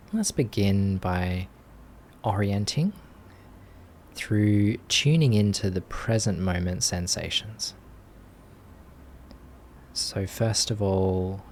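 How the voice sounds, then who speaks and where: A man leading a guided meditation as a teacher, speaking gently in short phrases with long pauses. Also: on the low side, slight background noise. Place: live online group session.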